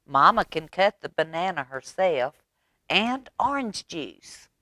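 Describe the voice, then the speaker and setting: A woman's voice: on the low side, very animated, takes it slowly, pushed and bright, dry recording, heavily acted. A woman voicing a bossy, eager little big sister from a picture book in an emphatic voice. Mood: planning, eager.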